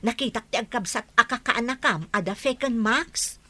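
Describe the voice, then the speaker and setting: Woman as shrill, sharp village gossip. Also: normal speed, dry recording. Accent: Ilocano. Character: gossiping village mother, insistent tattler. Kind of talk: Ilocano radio comedy drama acting.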